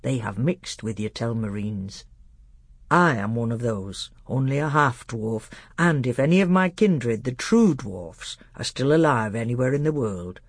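A narrator voicing a solemn old half-dwarf tutor, small and stout, speaking in a grave, deliberate, heartfelt way for a storybook. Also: mid-range voice, expressive, husky, no echo.

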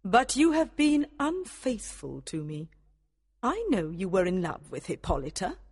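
A man's voice: big pitch swings, measured pace, husky, in a large hall, heavily acted. A man doing a haughty fairy queen. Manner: haughty, high and dramatic. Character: proud, wounded fairy queen.

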